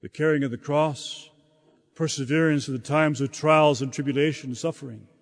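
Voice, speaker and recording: A man preaching a sermon in a slow, halting delivery, often repeating words as he searches for the next phrase. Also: fairly high pitch, fairly even intonation. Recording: long hall reverb.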